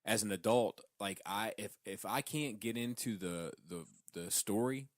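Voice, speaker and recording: A man's voice: fairly low pitch, a little slow, no echo, studio quality. A man co-hosting a podcast in casual debate, explaining himself and qualifying his points as he goes. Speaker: podcast co-host.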